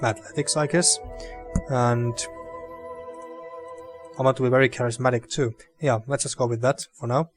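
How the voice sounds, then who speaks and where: A man's voice: medium pitch, normal speed, long pauses, soft background music. A man with a dry throat casually commenting on a game as he plays, thinking aloud. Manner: relaxed, thinking aloud, mildly sweary. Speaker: gaming video host.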